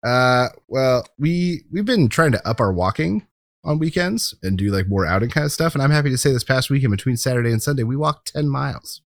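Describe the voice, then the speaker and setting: American man with lively, swinging pitch. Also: brisk, dry recording, clean studio sound. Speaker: podcast host. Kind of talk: casual podcast chat.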